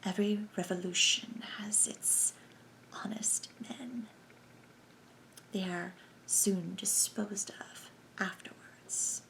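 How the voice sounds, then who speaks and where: A woman voicing a sinister male conspiracy chief who speaks in a soft, sibilant whisper with a cold, menacing manner. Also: high-pitched, slow pace, vocal fry, exaggerated voice, rough recording.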